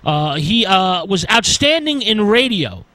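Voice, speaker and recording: A man giving an informal film history lecture, speaking haltingly with deliberate emphasis on key words. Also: high voice, big pitch swings, bright, forceful tone, no room sound. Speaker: film lecturer and radio presenter.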